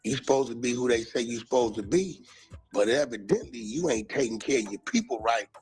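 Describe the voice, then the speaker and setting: A man's voice: mid-range voice, expressive, brisk, darkish tone, soft background music. An American man in a slangy, emphatic monologue, calling people out in a confrontational way.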